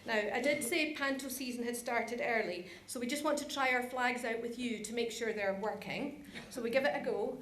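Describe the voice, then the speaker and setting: A woman's voice: on the high side, moderate pace, few pauses, fairly bright tone. A woman giving an informal, good-humoured conference presentation. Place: large conference room. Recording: roomy, clearly noisy conference hall recording.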